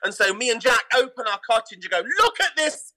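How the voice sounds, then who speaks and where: A man talking as an interview guest, telling anecdotes in an animated, theatrical way. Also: very high-pitched, fairly quick. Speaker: guest, theatre producer and pantomime dame.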